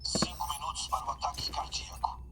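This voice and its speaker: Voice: fast talker, pushed and bright, slight background noise, natural voice. A performer with a Brazilian accent voicing an impersonal automated medical device in a video game, giving clipped countdown announcements. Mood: impersonal alert.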